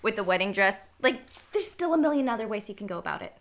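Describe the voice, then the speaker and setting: A woman's voice: medium pitch, sing-song, fast talker. A woman chatting casually as she shows and explains a garment she is making, talking while she works. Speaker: fashion designer. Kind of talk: casual chat while demonstrating. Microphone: shared microphone.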